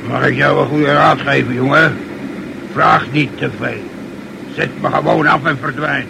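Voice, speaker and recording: An actor playing a hot-tempered, hard-drinking sailor in a radio play, blustering and quick to threaten. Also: male voice, medium pitch, fairly even intonation, creaky. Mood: grim. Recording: noisy old radio play recording.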